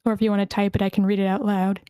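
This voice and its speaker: A woman with a smooth, clear voice hosts an online meeting in a relaxed, informal way. Her tone is mellow and the sound is dry and close. She is a little hesitant as she talks, and accommodating with the people taking part. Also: medium pitch, racing, no echo. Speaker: host of an online screenplay table read. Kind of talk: casual meeting hosting. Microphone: close microphone on an online voice call.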